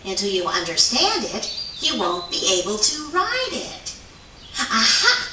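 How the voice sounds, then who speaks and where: Bright, animated otter voiced by a woman. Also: a little high, a little slow, full character voice. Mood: helpful. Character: cheerful, wise otter puppet, friendly guide. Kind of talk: puppet show for children. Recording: echoey outdoor-sounding, distant mic, faint noise.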